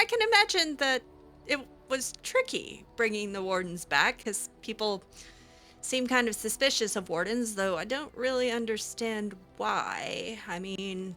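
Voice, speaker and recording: A woman's voice: mid-range voice, sharp tone. A woman roleplaying her character aloud in a game, talking back to the characters in a hesitant, giggly way, full of ums and restarts.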